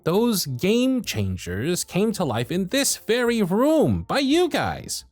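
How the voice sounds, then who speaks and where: A harried male elf supervisor, voiced by a man, scolds his team in a sarcastic, scolding tone. His pitch rises and falls widely while the delivery stays slow and unhurried. Faint music plays underneath this roleplay audio. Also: wildly swooping pitch, dry recording, heavily acted. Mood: pleading. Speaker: workshop supervisor.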